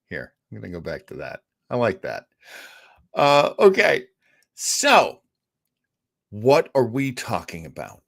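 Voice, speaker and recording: Slow, animated male host. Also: mid-range voice, creaky. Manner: rambling, self-interrupting, easily distracted; jokey, self-deprecating. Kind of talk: casual live show chat.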